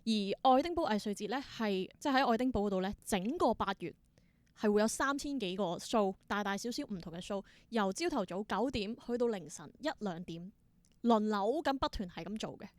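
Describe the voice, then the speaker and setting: A woman's voice: mid-range voice, animated, brisk, no room sound. Casting: young Hong Kong Cantonese woman, mid-range voice, thoughtful interviewee explaining casually with bits of English, very dry sound. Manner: reflective, explanatory, mixes in English words. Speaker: interview guest, theatre performer and creator.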